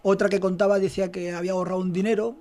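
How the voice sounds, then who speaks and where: A man's voice: high voice, level tone, very fast, a little mellow. A man with a mixed Alicante and Extremaduran Spanish accent, an artisan ice cream maker explaining his craft in an easygoing interview. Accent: Alicante Spanish blended with Extremaduran. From Alicante, Spain.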